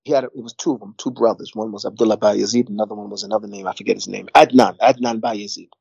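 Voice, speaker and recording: A man's voice: fairly low pitch, fairly even intonation, fast, creaky, a little mellow, breathy edge, no echo, muffled recording. A middle-aged man, talking casually in a rambling way, repeating words and saying "you know" a lot. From New York. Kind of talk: casual conversation.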